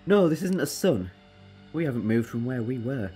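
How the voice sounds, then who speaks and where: An eccentric time traveller acted by a woman in a sci-fi audio drama. Her voice is low and swings widely as she gets exasperated and teasing, with music behind her. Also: big pitch swings, normal speed. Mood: brisk, puzzled. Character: brisk, eccentric, exasperated time-travelling alien adventurer, female.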